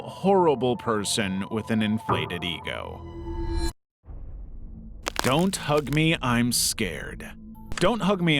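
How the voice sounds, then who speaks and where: A man reading a scripted video essay as its narrator. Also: medium pitch. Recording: music under the voice. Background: background music.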